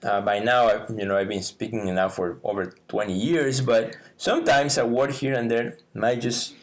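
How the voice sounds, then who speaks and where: A man's voice: medium pitch, moderate pace. Mexican-accented man chatting, laughing often. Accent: Mexican Spanish. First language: Spanish. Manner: animated, laughs often, many fillers. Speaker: podcast co-host. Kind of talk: casual podcast chat.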